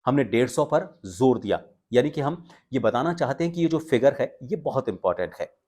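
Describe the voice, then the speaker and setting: A man giving an instructional talk to viewers as a voice coach, explaining with pauses and stress on key words. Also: mid-range voice, expressive, dark tone. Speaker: voice coach and video presenter.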